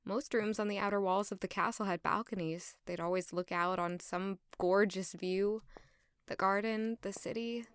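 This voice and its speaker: A woman's voice: medium pitch, steady tone, moderate pace, clean tone, no echo, natural voice. A woman playing a reserved, modest and wistful young palace maid in an audio drama, with a polite, understated way of talking. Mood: wistful, reflective. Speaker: narrator and lead character of audio drama. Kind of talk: audio drama acting with first-person narration.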